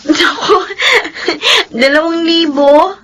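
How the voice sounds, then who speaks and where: Meek, high-voiced innocent young mother. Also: drawn-out words, fairly bright tone, raised voice, full character voice. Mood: grateful. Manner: meek, hesitant, polite. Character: innocent, humble, hesitant poor young mother. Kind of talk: Filipino radio drama acting.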